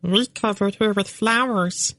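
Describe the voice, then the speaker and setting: A man doing a tiny, very high female fenling. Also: measured pace, no room sound, exaggerated voice. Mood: sad. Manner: very high piping, calm and plain. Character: small furry young female fenling, calm, stubborn.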